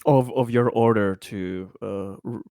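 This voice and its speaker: A man playing a tabletop roleplaying game, speaking casually and haltingly, often repeating words as he goes. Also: fairly low pitch, warm tone, dry recording, clean studio sound.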